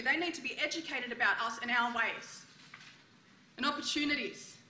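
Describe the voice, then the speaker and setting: A woman acting an earnest, defiant mother from the 1950s, declaiming a theatrical monologue to demand her rights. Her voice is high and forceful, with plain-spoken emphasis, in a roomy recording. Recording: roomy sound with faint background noise.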